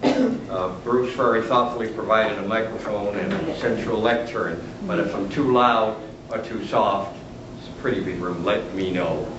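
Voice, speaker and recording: Older man, low voice, chatty humorous lecture, distant roomy noisy recording. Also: moderate pace. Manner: chatty and humorous, chuckling at own jokes. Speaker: retired literature professor lecturing. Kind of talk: lecture with asides. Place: large lecture room, standing at a lectern. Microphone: camera at the back of the room. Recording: roomy, distant, dull, noisy camera audio.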